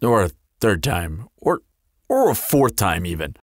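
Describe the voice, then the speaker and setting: Man acting as sardonic male vampire, audio roleplay, flirtatious and glib, lively swooping intonation, close dry recording. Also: lowish voice, a little slow, no echo. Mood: teasing, flirtatious. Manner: teasing, glib, playful drawl.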